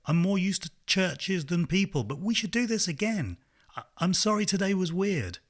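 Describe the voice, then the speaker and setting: A man reading a story, voicing a bookish, controlling loner who talks to his friend in a probing, deliberate way. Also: high-pitched, big pitch swings, voice pushed up. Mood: eager, awkward. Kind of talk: acted dialogue in a literary audiobook.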